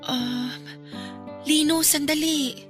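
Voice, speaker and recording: An actress playing a gentle, anxious young girlfriend, hesitant and polite, in a radio drama. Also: high voice, fairly even intonation, slow, faint music behind. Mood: nervous. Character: nervous, gentle young girlfriend meeting a hostile father.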